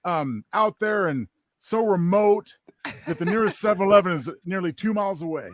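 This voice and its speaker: Casting: male participant in relaxed group talk, notably high voice, dry wit. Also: moderate pace. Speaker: participant in a group listening party. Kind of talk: casual chat.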